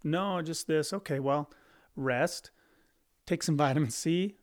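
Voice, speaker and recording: A man's voice: a little high, slow pace. A man co-hosting a podcast in casual discussion, talking emphatically and often repeating and restarting his phrases. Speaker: podcast co-host.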